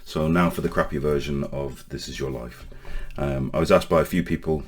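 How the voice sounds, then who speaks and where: A man in his forties from Northern Ireland with a mostly English accent, telling his life story to camera in a halting way, with many pauses and restarts. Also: extremely low pitch. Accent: English with Northern Irish traces. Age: 40s. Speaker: online safety vlogger.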